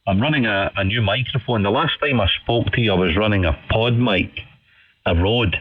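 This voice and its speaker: A man's voice: lowish voice, moderate pace, slightly rough, dry recording. A man chatting politely and casually as an amateur radio operator, often repeating phrases for emphasis, heard over the radio. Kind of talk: casual ham radio chat. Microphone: studio condenser microphone over radio. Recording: dull band-limited shortwave radio signal.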